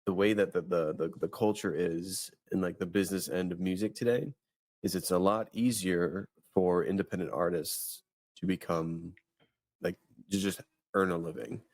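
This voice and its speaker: A man's voice: low voice, fairly even intonation, normal speed, darkish tone, dry recording, clean studio sound. A man in his thirties co-hosting a faith podcast, chatting casually and rambling with many restarts and fillers. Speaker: podcast host. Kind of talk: casual chat.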